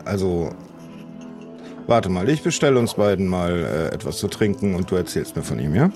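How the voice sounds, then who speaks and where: A mild, good-natured newcomer in a pirate audio adventure, acted by a man. His voice sits fairly low and he talks politely and tentatively, over faint music. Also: very animated, moderate pace, darkish tone. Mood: mild, curious. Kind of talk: improvised audio play.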